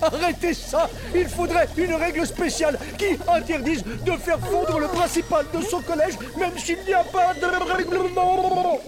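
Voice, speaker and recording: An actor voicing an easygoing, theatrical flatworm school principal in a French cartoon, airy and effusive. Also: very high-pitched, unhurried, few pauses, music in the background. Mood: panicked. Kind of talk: French cartoon dub acting.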